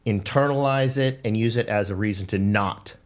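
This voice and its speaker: A man's voice: on the low side, normal speed. A man in his fifties hosting a podcast in casual chat, talking earnestly with a lecturing tone and some wry teasing, in a dim studio on a desk mic. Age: 50s. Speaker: podcast host.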